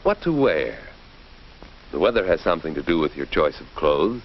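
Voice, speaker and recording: A man's voice: extremely low pitch, big pitch swings, normal speed. A man giving scripted, instructive narration in a 1940s educational film style, with a questioning, presentational manner. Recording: dull, noisy 1940s film soundtrack.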